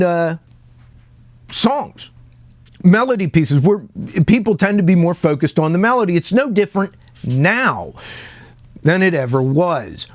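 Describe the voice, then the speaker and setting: A man's voice: fairly high pitch, dry recording. A man giving casual, unscripted commentary as a video host, conversational and often repeating words as he searches for the next one.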